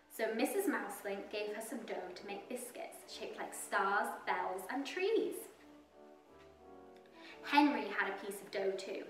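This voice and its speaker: A woman with a fairly high voice narrates a bedtime storybook for young children. She is expressive and deliberate, recorded in an echoing room with faint music underneath. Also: distant mic. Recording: roomy echo, music behind. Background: soft music.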